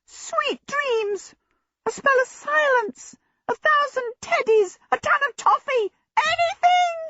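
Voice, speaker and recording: Shrill small male djinn, played by a woman, very high pitch, piercing, frantic, exasperated, storybook fantasy. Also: animated, sharp tone, no room sound, muffled recording, exaggerated voice. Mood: frantic pleading. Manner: frantic, complaining, drawn-out exclamations.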